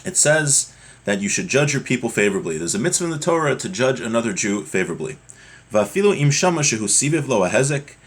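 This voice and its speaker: A man's voice: medium pitch, big pitch swings, fast talker, husky. A man with a mid-range voice teaches a religious class. He reads phrases of Hebrew text and translates them into English, explaining in a conversational way with everyday hypothetical examples.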